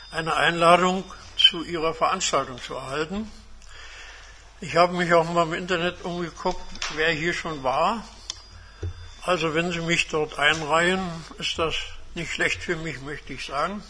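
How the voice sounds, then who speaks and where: Bright, high-pitched man of seventy-five. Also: a little hiss or hum. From Germany. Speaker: guest speaker, retired engineer and academic. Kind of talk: autobiographical talk to a university seminar. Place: seminar room.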